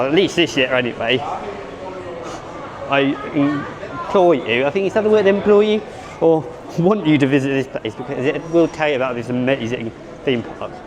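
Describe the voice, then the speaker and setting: A man vlogging at a theme park, rambling and unsure of himself, hoarse as he is losing his voice. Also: fairly high pitch. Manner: rambling, self-correcting, unsure. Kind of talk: casual vlog commentary.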